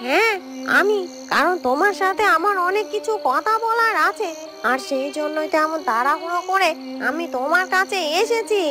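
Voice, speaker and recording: A woman's voice: very high-pitched, expressive, moderate pace, over music. A woman playing a stern, vengeful young woman in a cartoon story, confronting someone with emphatic accusations. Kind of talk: Bengali cartoon story dialogue.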